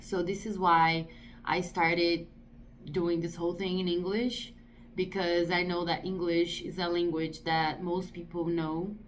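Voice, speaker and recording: Brazilian woman in her thirties, lower-pitched, vlogging slowly in English, thoughtful and searching for words. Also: little pitch movement, a little hiss or hum. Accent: Brazilian Portuguese. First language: Portuguese. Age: 30s. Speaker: artist vlogger. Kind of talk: casual talk to camera.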